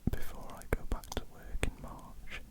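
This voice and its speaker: A woman with a croaky voice, whispering ear to ear for an ASMR question-and-answer video. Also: normal speed. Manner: soft unvoiced whisper with frequent ums. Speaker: ASMR artist. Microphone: ear-to-ear stereo mic.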